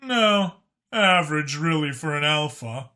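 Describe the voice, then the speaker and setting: A man voicing a composed, authoritative dragon spymaster in an audiobook, measured, formal and a little wry as he explains things. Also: on the high side, animated, sharp tone. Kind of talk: audiobook character acting.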